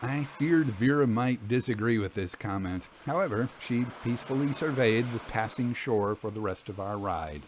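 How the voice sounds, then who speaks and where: A man reading scripted literary narration as a storyteller, with formal, measured phrasing. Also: mid-range voice, expressive, slight background noise, low-quality recording.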